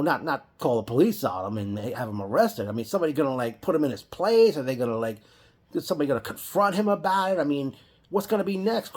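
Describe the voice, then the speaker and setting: A man's voice: on the high side, darkish tone. Rambling New man. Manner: rambling, with frequent restarts and self-corrections. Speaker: wrestling fan vlogger. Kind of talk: casual spoken reaction vlog.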